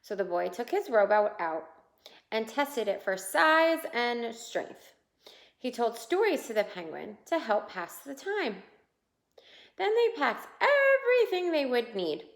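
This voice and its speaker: High-pitched, very expressive woman reading a storybook. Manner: expressive, pausing to point out pictures to young listeners. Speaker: picture book reader for children. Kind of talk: children's read-aloud storytelling. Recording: roomy, echoing indoor sound.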